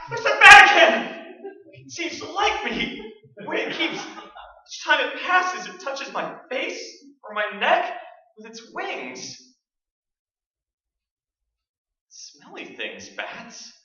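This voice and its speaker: A man's voice: very high voice, very animated, slow, bright, forceful tone, exaggerated voice. A man in a staged radio play, playing a nervous, rambling broadcaster alone in a haunted house, with a jittery, stammering delivery. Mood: startled, rattled. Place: large hall stage, staged performance. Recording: very echoey stage recording from far off, muffled.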